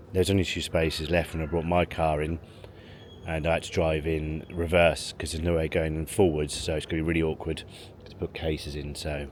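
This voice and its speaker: A man chatting casually to camera in a family vlog, rambling and chuckling between remarks. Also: rumbling low. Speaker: family vlogger. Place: outdoors in a car park, then at a leisure pool. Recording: handheld vlog with loud background noise.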